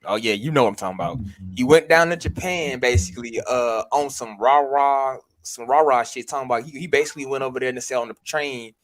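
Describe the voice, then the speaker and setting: A man hosting a casual podcast chat, telling stories in an animated way and swearing freely. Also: mid-range voice, fast talker. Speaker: podcast host.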